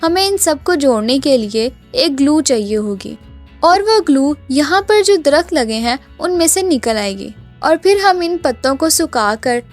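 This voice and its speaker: A woman's voice: high-pitched, expressive, unhurried, faint music behind. A woman voicing a caring, earnest young daughter-in-law in a cartoon. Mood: eager. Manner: earnest, reassuring.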